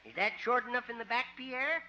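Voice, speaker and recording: A man's voice: very high-pitched, a little fast. An actor playing a wisecracking small-town veterinarian who teases and laughs at his own gags, in 1950s radio sitcom style. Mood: teasing. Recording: muffled hissy 1950 radio transcription.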